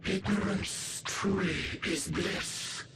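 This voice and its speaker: A gloomy superior alien in a video game, high voice, slow solemn old-timey talk, looking down on everyone. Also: male voice, steady tone, very noisy. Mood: solemn, resigned. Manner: solemn, archaic, condescending, deliberate delivery. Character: bleak alien being preaching despair, calm and superior. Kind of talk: scripted video game drama acting.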